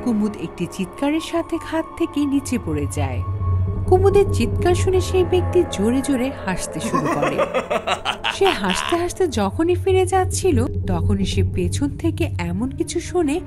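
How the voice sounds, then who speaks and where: Female narrator for horror tales; high-pitched, highly expressive dramatic read over music. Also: normal speed.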